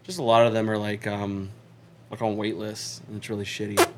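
A man's voice: lowish voice, little pitch movement, a little fast, heavy background noise. A young man co-hosting a casual podcast from his small apartment, talking in a laid-back, rambling way and laughing often, close to a podcast mic. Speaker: podcast co-host. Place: small home studio apartment.

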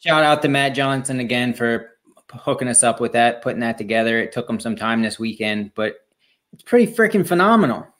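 A man co-hosting a running podcast, talking in a relaxed, rambling, self-deprecating way. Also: medium pitch, a little fast. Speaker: podcast co-host. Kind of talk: casual chat.

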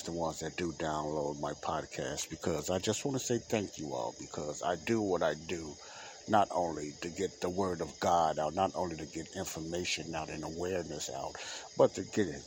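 A man's voice: low-pitched, slight background noise. A man hosting his own unedited solo podcast, talking loosely with lots of fillers and repeats, his nose stuffy and congested. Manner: rambling, filler-heavy, repeats himself. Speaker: podcast host. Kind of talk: unscripted solo podcast talk. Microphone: home podcast mic, unedited.

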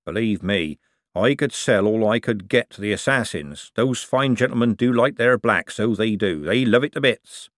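A man's voice: fairly low pitch, expressive, normal speed, dry recording, clean studio sound, close to an everyday voice. A man voicing a greedy, sly old male craftsman who growls in coarse working-class speech. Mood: gruff.

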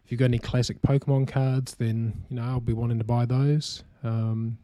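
A young man with a New Zealand accent hosts a casual podcast, his voice mellow and warm. He speaks in a relaxed, unhurried way, filling gaps with "um" and breaking into easy laughter. The sound is close and dry, with no room echo. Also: mid-range voice. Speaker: podcast co-host.

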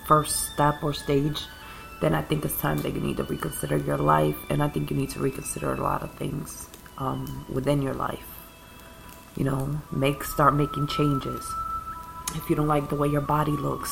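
A woman's voice: deep voice, creaky, low-quality recording. A woman hosting her own podcast, talking in a rambling, ranting way about spirituality and growth, now and then coughing as she smokes. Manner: rambling, ranting, self-described calming voice; occasional coughs while smoking. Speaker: podcast host. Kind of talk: casual spiritual monologue.

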